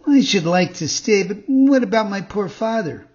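A man voicing a naive, eager young wooden puppet boy in a storybook reading, earnest and excitable. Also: sits high, normal speed, dull audio, pitched above the performer's own voice.

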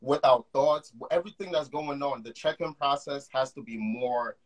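A man's voice: mid-range voice, normal speed. A young man hosting a mental health podcast over a call, talking with enthusiasm in loose run-on phrases. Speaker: podcast host. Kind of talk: casual conversation.

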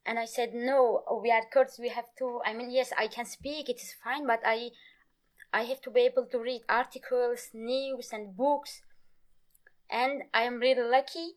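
A woman, a Kurmanji speaker from Turkey, talking as an interview guest in halting English, often pausing to search for words. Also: fairly high pitch, fairly even intonation. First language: Kurmanji Kurdish. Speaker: guest, Kurdish language teacher. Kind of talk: casual interview conversation.

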